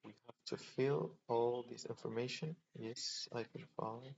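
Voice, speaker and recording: A man from Poland hosting a casual screen-recorded tutorial, thinking aloud in a halting way as he clicks through steps. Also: medium pitch, creaky, a little mellow. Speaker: tutorial host. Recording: dull lo-fi mic with faint noise.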